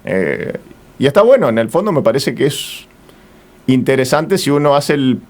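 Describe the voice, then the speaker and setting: A man's voice: medium pitch, sing-song, normal speed, a little mellow. A man with an Argentine accent speaking as an interview guest, reflective and searching for his words as he goes. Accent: Argentine Spanish. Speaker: interview guest, returned traveller.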